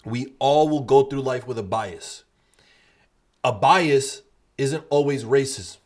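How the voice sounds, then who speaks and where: A man's voice: medium pitch, takes it slowly, darkish tone. A man co-hosting a podcast, talking bluntly and emphatically in a casual debate, swearing freely. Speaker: podcast co-host.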